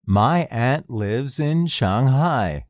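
A man repeats each word and sentence at a very slow, deliberate pace, his pitch rising and falling widely. The sound is dry and close, straight into a studio mic. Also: animated, darkish tone, no room sound. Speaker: recorded lesson reader. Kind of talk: scripted listening exercise.

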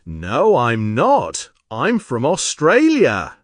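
An actor voicing a friendly young schoolboy in a scripted textbook dialogue, speaking slowly and clearly. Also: medium pitch, huge pitch swings, no room sound, clean studio sound, full character voice. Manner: slow, clear, carefully spoken.

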